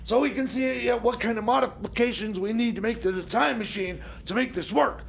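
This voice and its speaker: A man playing an excitable, evasive Southern-rock fan sidekick in improvised radio comedy, stammering and rambling in halting bursts. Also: very high-pitched, creaky, slight background noise, heavily acted. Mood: evasive, hesitant. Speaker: comic sidekick on music show.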